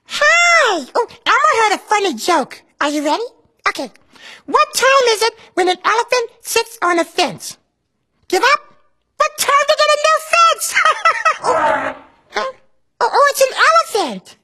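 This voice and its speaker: A man's voice: extremely high pitch, sing-song, a little slow, pauses often, bright, forceful tone, exaggerated voice. A man voicing a giggly little red monster toddler who talks about himself in the third person. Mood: excited. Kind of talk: children's puppet show voice acting.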